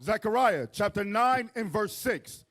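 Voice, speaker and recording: A man's voice: sits high, animated, a little slow, fairly bright tone. A man reading Bible verses aloud as a scripture reader, in a formal, declamatory way.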